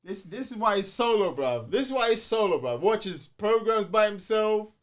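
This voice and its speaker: A man's voice: high voice, sing-song, normal speed. A man with a London accent joking around in slang as co-host of a casual live podcast.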